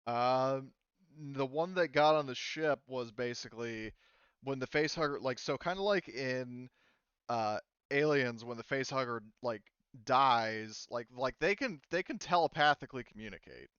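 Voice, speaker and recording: A man's voice: medium pitch, normal speed, fairly bright tone, clear, resonant tone, no room sound, dull audio. A man running a tabletop game, explaining backstory in a rambling, filler-heavy way. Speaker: game master. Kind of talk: casual out-of-character explanation.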